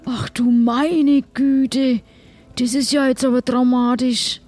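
Woman playing excitable ship's crew member, high voice, colloquial, rambling, improv comedy, faint music behind. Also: takes it slowly. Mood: shocked. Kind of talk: improvised role-play comedy.

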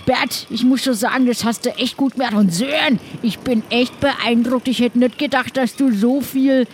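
A woman's voice: on the high side, steady tone, moderate pace, slight background noise, close to an everyday voice. A woman playing a cunning, chummy adventurer in an improvised audio play, talking in a colloquial, teasing way. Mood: impressed, teasing. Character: chummy, cunning pirate adventurer conning a trader.

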